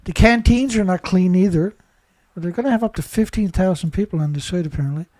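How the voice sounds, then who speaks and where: A stuffed-up man runs his own news commentary, talking in an indignant, rambling way and firing off rhetorical questions. His voice sits fairly high for a man. The sound is close and dry, with no room around it. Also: fast, mellow, no room sound. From Canada. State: stuffed-up nose. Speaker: host.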